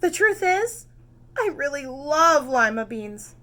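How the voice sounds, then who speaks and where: A woman's voice: high-pitched, big pitch swings, slow, bright, forceful tone, pitched above the performer's own voice, full character voice. A woman voicing a self-conscious but eager young schoolgirl in a children's storybook, with dramatic expression. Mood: urgent confession.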